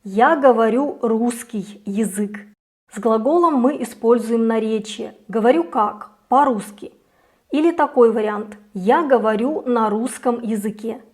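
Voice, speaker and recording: A young woman teaching a scripted grammar lesson, saying each example slowly and clearly. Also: fairly high pitch, a little mellow. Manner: slow, careful, clearly articulated examples. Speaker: Russian language teacher.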